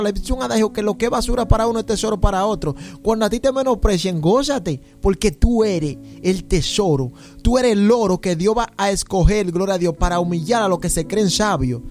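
Preacher, male, Dominican accent. High-pitched voice, fervent testimonial delivery full of praise refrains, music playing behind. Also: darkish tone. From San Juan de la Maguana, Dominican Republic. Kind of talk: informal sermon with personal testimony.

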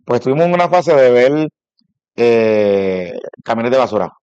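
Puerto Rican man, mid-pitched, casual, halting, dry but slightly muffled recording. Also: expressive, a little mellow, clear, resonant tone, no echo. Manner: halting, thinking aloud. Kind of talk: casual conversation about parenting. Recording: slightly dull, lo-fi sound.

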